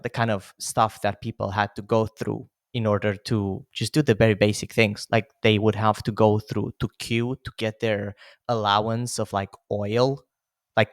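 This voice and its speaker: Guy in his 30s, podcast host, low voice, chatty with lots of fillers, dry close sound. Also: no echo. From Romania. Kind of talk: casual conversation.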